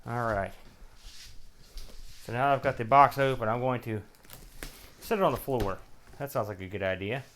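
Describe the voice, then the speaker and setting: A man's voice: medium pitch, normal speed, long pauses, a bit bright, a little hiss or hum. A man hosting a retro gaming show, giving casual, wry unboxing commentary in folksy slang as he thinks aloud while handling items.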